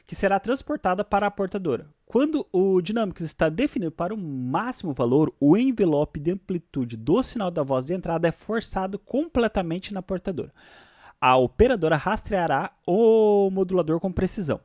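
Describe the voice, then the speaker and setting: A man with a Brazilian accent presenting a software tutorial, switching between read-out explanations and casual asides. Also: high voice, lively intonation, moderate pace, dry recording, clean studio sound.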